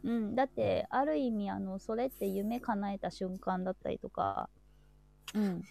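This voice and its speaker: A woman's voice: medium pitch, moderate pace, vocal fry, warm tone, no echo. A woman hosting a live show in casual, unscripted chat.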